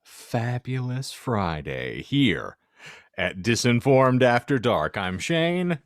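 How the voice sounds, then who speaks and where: A man's voice: medium pitch, sing-song, slow, dry recording, clean studio sound. A man hosting a podcast with playful, flowery wording and casual comedic banter. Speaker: podcast host.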